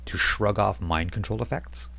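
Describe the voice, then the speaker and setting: A man's voice: on the low side, normal speed, vocal fry, sharp tone. A man running a tabletop role-playing game as game master, narrating in a rambling way with many fillers.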